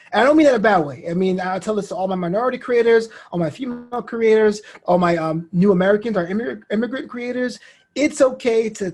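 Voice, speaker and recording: Male interview guest, husky, conversational storyteller who voices imagined quotes. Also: high voice, darkish tone. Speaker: interview guest, actor and podcast host.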